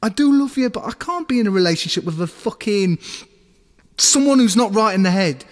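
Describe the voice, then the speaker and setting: Audio drama boyfriend, played by an actor: male, high-pitched, insecure, argumentative, reproachful, in long reverb. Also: lively intonation, fairly quick. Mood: anguished, angry. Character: insecure, accusing boyfriend demanding unconditional love. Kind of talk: naturalistic audio drama dialogue.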